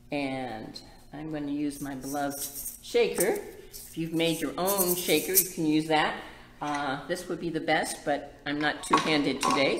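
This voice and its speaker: A woman hosting a casual library storytime, chatting directly to young children. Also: low voice. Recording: echoey room with faint background noise.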